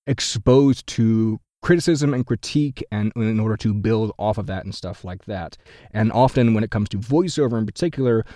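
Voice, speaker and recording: A young man with a deep baritone voice, hosting a podcast and giving chatty, self-correcting advice about getting into voiceover. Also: a little mellow, dry recording, studio quality. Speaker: podcast host at a voiceover studio.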